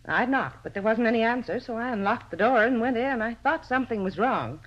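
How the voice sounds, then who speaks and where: Touchy landlady with swooping pitch. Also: lively intonation, brisk. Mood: explaining. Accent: American. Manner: talkative, defensive. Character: touchy, self-important landlady, protective and indignant. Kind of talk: 1950s radio drama acting. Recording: muffled old radio recording.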